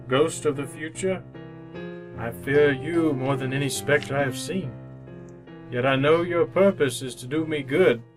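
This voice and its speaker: An actor playing a frightened, repentant old miser in old-time radio drama, halting and earnest, repeating his phrases. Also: on the high side.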